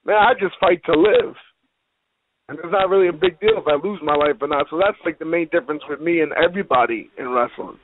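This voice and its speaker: A man's voice: a little high, fairly quick. A man giving a casual interview as a professional wrestler, blunt, streetwise and full of slang, over a phone line. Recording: hissy, noisy, tinny phone line.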